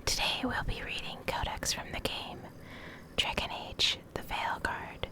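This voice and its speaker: A woman reading in a soft, breathy whisper for ASMR, gentle and measured, close to the microphone. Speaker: ASMR creator, video host and reader. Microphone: close USB condenser microphone.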